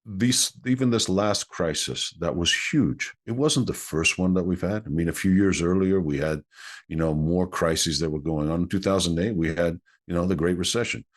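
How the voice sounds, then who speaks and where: A man's voice: low-pitched, moderate pace. A man whose first language is Greek, an executive coach answering interview questions in an earnest, explanatory way.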